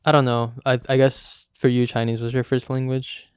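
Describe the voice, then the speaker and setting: Young man, smooth clear voice, mid pitch, easygoing rambling podcast talk, dry close sound. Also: moderate pace, dry recording. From United States. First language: Korean. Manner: relaxed, rambling, self-interrupting. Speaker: podcast co-host. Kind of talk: casual podcast chat.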